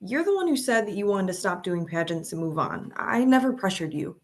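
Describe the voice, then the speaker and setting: A woman acts out a loving but fed-up bride-to-be in a script read. Her voice is medium in pitch and very animated. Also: fairly quick. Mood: hurt, concerned. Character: caring but exasperated fiancée of a pageant contestant. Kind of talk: screenplay table read acting.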